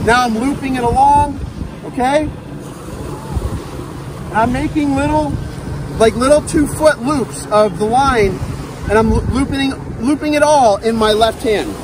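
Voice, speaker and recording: A man in his thirties hosting a fishing channel, upbeat, demonstrating a cast net outdoors on a sandy beach and calling the viewers "guys". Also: very high voice. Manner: upbeat, repeats "guys" and "okay", talks while handling the net. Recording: outdoor beach noise behind the voice.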